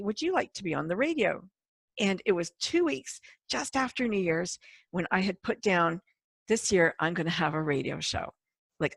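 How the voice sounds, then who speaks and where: A woman's voice: fairly low pitch, a bit bright, dry recording. A woman who founded a radio network, talking about her shows in an enthusiastic, chatty way and laughing often. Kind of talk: casual conversation.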